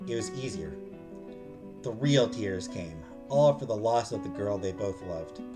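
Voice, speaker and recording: A man's voice: on the low side, animated, a little slow. A man narrating a visual novel aloud in a dramatic storytelling style as a game streamer. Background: music.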